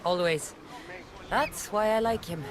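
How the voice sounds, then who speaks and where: A woman's voice: medium pitch, slow pace, crowd noise, natural voice. An actress playing a determined young female warrior who talks directly and dryly, over game music.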